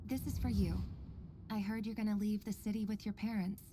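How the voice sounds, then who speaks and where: A woman playing an earnest, composed researcher in a video game, speaking in a measured, articulate and quietly caring way. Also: mid-range voice, fairly even intonation, noisy background, lightly acted. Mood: gentle, guarded. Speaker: voice actor in a game story quest. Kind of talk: scripted game dialogue and log narration.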